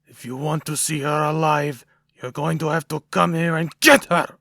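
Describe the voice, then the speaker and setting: Creaky, menacing supervillain acted by a man. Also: on the high side, steady tone, pushed and bright, no echo, clean studio sound, exaggerated voice. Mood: threatening. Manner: mock-wistful, sly, deliberate threats. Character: menacing, unhinged male supervillain, taunting. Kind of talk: comic book dub acting.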